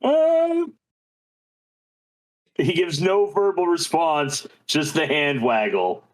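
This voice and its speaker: A man's voice: a little high, very animated, slow pace, rough recording. A man taking part in a tabletop roleplaying game, describing what his character does.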